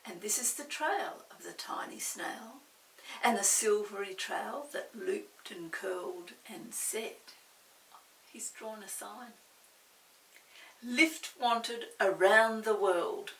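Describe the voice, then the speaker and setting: An older woman tells a picture-book story to young children at a very slow pace. She pauses to describe and question as she goes. The recording is echoey and a little distant from the mic, with faint hiss behind her. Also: medium pitch, vocal fry. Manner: unhurried, pausing to describe pictures and ask questions.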